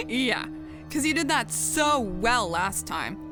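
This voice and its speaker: A woman's voice: fairly high pitch, very animated, slow pace, over music. A woman voicing a sarcastic, blunt, rebellious young pegasus in a dramatized story reading. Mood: bitter. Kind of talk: dramatized fan fiction reading.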